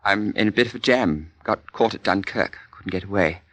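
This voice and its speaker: An actor playing a polite, stranded RAF pilot in a 1940s radio drama, with a British accent and a clipped, understated manner. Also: male voice, on the low side, moderate pace, a bit bright, no room sound, close to an everyday voice. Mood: calm, understated.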